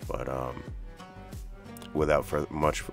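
A man's voice: very deep voice, flat delivery, slow, creaky, faint music behind. A middle-aged man hosting a reaction show, talking casually and informally to his viewers.

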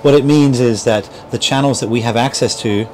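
A middle-aged man with a medium-pitched voice gives an informal instructional walkthrough. He explains things step by step, like an instructor showing a customer around. He is on a clip-on mic in a small cabin, with clear background hum behind him. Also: a little fast, a little mellow. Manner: explanatory, step-by-step, checks in with "right?". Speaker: boat dealer instructor. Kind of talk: informal how-to demonstration. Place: small boat cabin at a marina. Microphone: clip-on lavalier mic.

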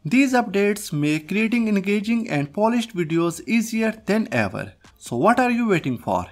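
A man presenting a software tutorial as host, reading a scripted narration. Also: high voice. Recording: music behind the voice. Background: background music.

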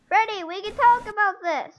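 Someone doing a frantic, high-pitched pleading mascot. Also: normal speed, a bit bright. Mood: panicked. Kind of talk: improvised fan role-play of a horror game.

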